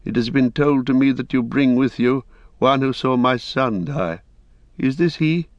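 A man's voice: mid-range voice, measured pace, no echo, dull audio, pitched above the performer's own voice, close to an everyday voice. A man reading the part of a proud, bitter old lord who speaks in a cold, grave way. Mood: grim.

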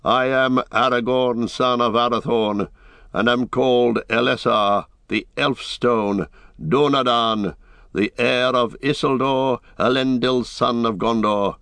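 A man voicing a noble, resolute ranger and royal heir in a fantasy audiobook, with measured, lofty, commanding speech. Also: mid-range voice, steady tone, fairly bright tone, no echo, pitched above the performer's own voice. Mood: commanding, proclaiming. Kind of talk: dramatic audiobook fantasy reading.

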